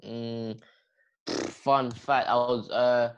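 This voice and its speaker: A man's voice: medium pitch, little pitch movement, very slow, clean tone, dull audio. A teenage boy, chatting casually as a podcast guest, rambling with lots of fillers, slang and laughs. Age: teens. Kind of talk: casual chat.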